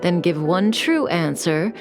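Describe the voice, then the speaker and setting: Mysterious female manipulator, lowish voice, very unhurried, composed, teasing, video game dialogue, music underneath. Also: animated. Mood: teasing, calm. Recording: music bed behind the voice.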